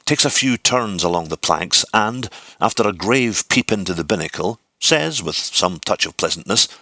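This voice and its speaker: A man reading literary narration from a script, with deliberate, theatrical phrasing. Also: on the low side, animated, bright, forceful tone, no echo. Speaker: audiobook reader.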